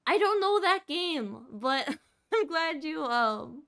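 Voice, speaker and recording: A woman's voice: high voice, big pitch swings, slow pace, sharp tone. A woman streamer chatting casually to her live audience in a bubbly, giggly way. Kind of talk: casual livestream chat.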